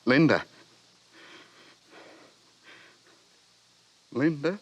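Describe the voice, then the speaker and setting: An actor playing an anguished, idealistic young savage in a declamatory style of fifties radio drama. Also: male voice, fairly high pitch, lively intonation, normal speed, lo-fi sound. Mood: grief-stricken. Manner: theatrical, declamatory. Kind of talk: 1950s radio drama acting. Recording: noisy vintage radio recording.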